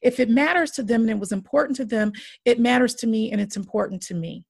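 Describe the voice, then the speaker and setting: A woman's voice: on the high side, fast talker, creaky, slightly rough, no room sound, clean studio sound. A woman in her forties hosting a podcast, speaking earnestly and with emphatic, impassioned repetition. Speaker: podcast host.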